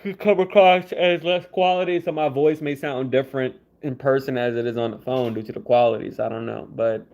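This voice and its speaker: A man's voice: medium pitch, fairly even intonation, brisk, vocal fry, hardly any pauses, a little mellow, dull audio. Laid-back man chatting over phone audio. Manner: laid-back, teasing, laughs often, answers the chat. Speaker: livestreamer. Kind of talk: casual livestream chat reading viewer comments.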